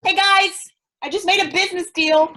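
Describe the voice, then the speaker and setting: An actress in a staged play reading, playing a brash, eager, childlike woman who pushes a deal with cheeky excitement. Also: very high-pitched, normal speed. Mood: excited.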